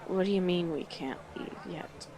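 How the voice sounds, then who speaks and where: A woman's voice: fairly low pitch, normal speed, background noise, lightly acted. A woman playing a brash, teasing female orc guide in a fantasy audio roleplay, with a blunt, sardonic manner. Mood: teasing, bossy.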